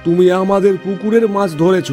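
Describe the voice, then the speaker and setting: A man's voice: sits high, steady tone, fairly quick, a little mellow, faint music behind, lightly acted. A man playing a greedy, arrogant, domineering village landlord in a cartoon, speaking in an accusing way. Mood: angry, bullying. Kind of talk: cartoon voice acting.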